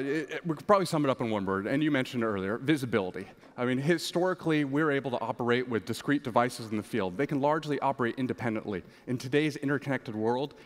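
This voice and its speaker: Mid-pitched male executive in a reverberant hall. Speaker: guest business executive. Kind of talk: prepared on-stage interview answers. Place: large conference stage, indoors. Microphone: headset. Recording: large hall reverb on stage.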